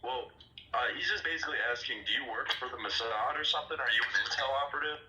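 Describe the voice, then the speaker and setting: A man's voice: mid-range voice, very fast, pushed and bright, slight background noise. A man hosting a casual live audio show, ranting animatedly and swearing as he talks. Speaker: host. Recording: echoey room.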